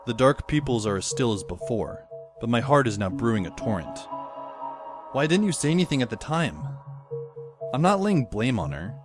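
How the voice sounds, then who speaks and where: A teenage schoolboy played by a man narrates an audio drama in a lively, varied voice. He is wry and brooding, with dry sardonic asides, over faint music. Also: medium pitch, moderate pace, natural voice. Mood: uneasy, questioning. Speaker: lead actor and narrator. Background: faint background music.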